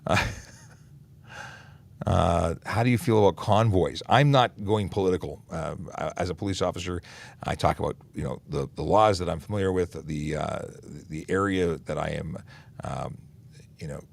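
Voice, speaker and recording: Deep-voiced, gravelly police officer. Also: male voice, normal speed. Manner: relaxed, many "uh" fillers and restarts. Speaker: police officer hosting a traffic Q&A livestream. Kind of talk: casual livestream Q&A, reading and answering viewer questions.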